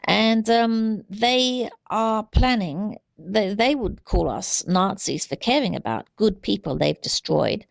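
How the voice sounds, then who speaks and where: A woman with a heavily creaky, gravelly voice at an average pitch speaks off the cuff in a dry, close sound. She is earnest and exhorting, often halting and circling back over her phrases. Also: lively intonation, no room sound. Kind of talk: unscripted monologue, urgent exhortation.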